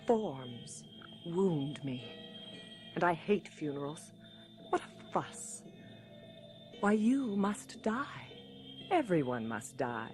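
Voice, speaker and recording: A woman's voice: deep voice, darkish tone, full character voice. An actress playing a languid, disdainful young noblewoman in a gothic radio drama, theatrical and imperious. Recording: radio drama with music behind.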